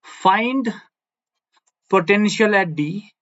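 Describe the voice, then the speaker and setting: High-voiced teacher with a Kashmiri background. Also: male voice, animated, drawn-out words, a bit bright, highs cut off. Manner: repeats key phrases, asks "no?" for agreement. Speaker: physics teacher. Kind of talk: blackboard physics lecture.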